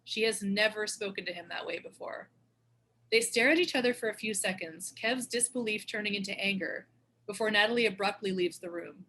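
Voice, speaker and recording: A woman's voice: medium pitch, a little fast, pushed and bright, a little hiss or hum, poor audio. A woman reading stage directions as scripted narration for a screenplay table read.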